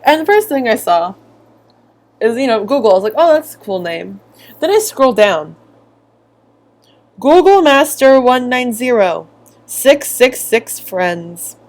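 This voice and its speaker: A woman's voice: on the high side, measured pace, long pauses. A woman giving casual, sarcastic reaction commentary, often acting out what others say. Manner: sarcastic, animated mimicking. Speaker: video commentator.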